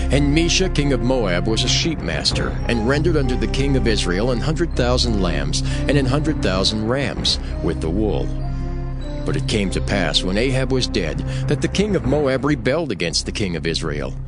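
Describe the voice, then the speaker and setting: Animated male scripture narrator with music. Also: on the low side. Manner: formal, solemn storytelling.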